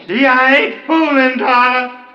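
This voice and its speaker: An actor playing a menacing, uneducated smuggler who taunts in a strained voice in a 1950s radio drama. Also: male voice, extremely high pitch, steady tone, unhurried. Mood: taunting, menacing. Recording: noisy, muffled old radio recording.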